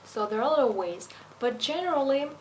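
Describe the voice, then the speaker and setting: A young woman with a slight European accent, speaking non-native English in a fairly high voice. She lays out her teaching methods in a methodical, explanatory way, pausing on little fillers. A steady hiss runs under the recording. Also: rough recording. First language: not English. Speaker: language teacher. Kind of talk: teacher self-introduction video.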